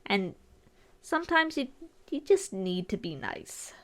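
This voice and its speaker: A woman's voice: medium pitch, big pitch swings, measured pace, fairly bright tone. A woman narrating her own art video as a casual commentary, informal and lightly self-deprecating, with a joking touch. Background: music.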